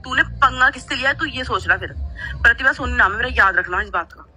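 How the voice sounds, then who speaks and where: Sharp-voiced defiant young woman, recorded call. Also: fairly high pitch, quick pace. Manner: defiant, scolding, repetitive. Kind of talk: heated personal argument.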